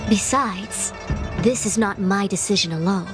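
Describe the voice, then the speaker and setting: A woman playing a composed, authoritative diviner and strategist in a video game, speaking formally and in measured, solemn phrases. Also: medium pitch, lightly acted. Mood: resolute. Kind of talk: scripted video game dialogue. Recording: loud music under the voice.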